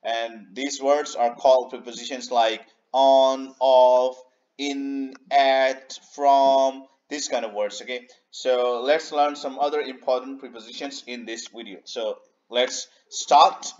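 A man's voice: medium pitch, fairly even intonation, darkish tone, lo-fi sound. Man teaching grammar, full of "okay"s. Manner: unhurried, repeats himself, many "okay" and "uh" fillers. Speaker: English teacher. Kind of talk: slide-based grammar lesson. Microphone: voice-over on a screen recording.

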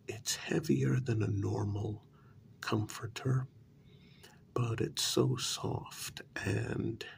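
A man playing a cajoling talent agent in a soft-spoken ASMR role-play, half-whispering gently and coaxingly, close to the microphone. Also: lowish voice, creaky, heavily acted. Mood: coaxing, flattering. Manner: gentle, coaxing, deliberate with pauses. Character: short, cajoling male talent agent to monster women.

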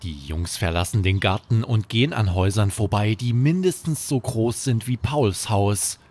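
A man's voice: on the low side, normal speed, a little mellow. A man narrating a scripted bedtime story for children as the host.

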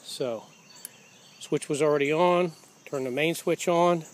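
A man's voice: a little high, unhurried. A man casually talking through his motorcycle outdoors, explaining details as he films with a handheld camera. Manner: relaxed, plain-spoken, explaining as he goes. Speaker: motorcycle owner. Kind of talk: casual walkaround narration. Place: outdoors beside a parked motorcycle. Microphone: handheld camera or phone.

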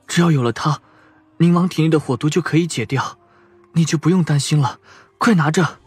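An actor playing a wounded, weary young man in an audio drama, breathy and sighing. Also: fairly high pitch, fairly quick, no echo, soft background music.

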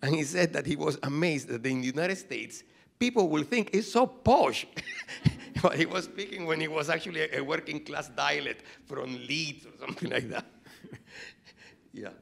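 A man's voice: a little high, normal speed, creaky, fairly bright tone. A man joining the discussion with a remark and an anecdote, speaking hesitantly.

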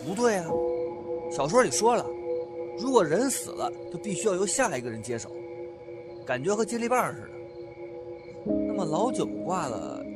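An actor playing an uneasy, questioning male student in an audio drama, fretful and expressive. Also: high voice, normal speed, long pauses, loud music behind the voice. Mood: doubtful.

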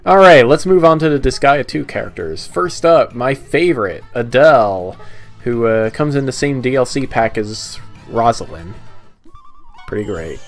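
A man giving casual, halting commentary on a video game, his phrases often trailing off. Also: mid-range voice, expressive. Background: video game music.

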